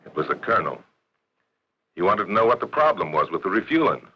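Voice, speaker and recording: An actor playing a harried Air Force sergeant, explaining himself defensively in a 1960s training film. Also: male voice, lowish voice, very animated, fast talker, breathy edge, full character voice. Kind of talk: scripted first-person reenactment in 1960s training film. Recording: dull, noisy 1960s film soundtrack.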